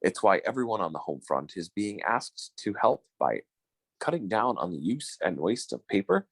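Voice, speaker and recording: A man's voice: lowish voice, sing-song, normal speed, gravelly, slightly rough, no echo, full character voice. A man playing an earnest, formal 1940s radio announcer, reading in a polished, declamatory style. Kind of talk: 1940s radio announcing, scripted sponsor message.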